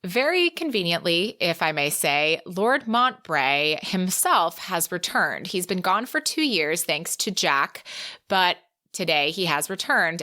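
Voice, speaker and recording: A woman's voice: lowish voice, pushed and bright. A woman co-hosting a podcast, recapping and discussing a book in an enthusiastic, chatty, casual way. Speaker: podcast co-host.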